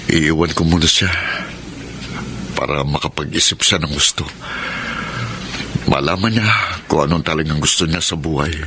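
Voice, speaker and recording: Low-voiced, wounded Filipino husband. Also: male voice, moderate pace, long pauses, noisy background. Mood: subdued, resolved. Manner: earnest, dramatic. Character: wounded, proud young husband, bitter yet forgiving. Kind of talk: Filipino radio drama acting. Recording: prominent music under the voice.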